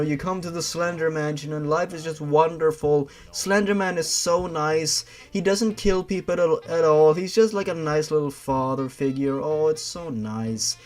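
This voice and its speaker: A man narrating a story in a theatrical, dramatic way, with sarcastic asides. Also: on the high side.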